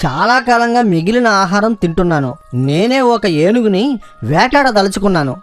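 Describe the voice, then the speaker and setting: A man's voice: high voice, animated, moderate pace, faint music behind. A man voicing an eager, boastful, scheming jackal who pleads his way through a children's cartoon fable. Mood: pleading. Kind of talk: children's cartoon story acting.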